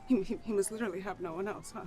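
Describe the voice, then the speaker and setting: A woman performing an emotional stage monologue as a bitter, overwhelmed adult child confronting an absent father's deathbed, sarcastic and halting. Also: mid-range voice, steady tone, warm tone, slight background noise, close to an everyday voice, poor audio. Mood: subdued, resigned. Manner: sarcastic, wounded, halting between outbursts.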